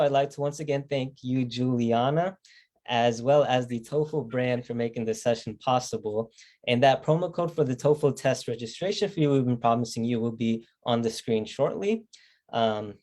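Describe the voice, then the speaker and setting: Mellow young man hosting a live Q&A. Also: mid-range voice. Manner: scripted, transitional, with frequent um's. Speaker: host of a live session. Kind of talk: moderating a live Q&A.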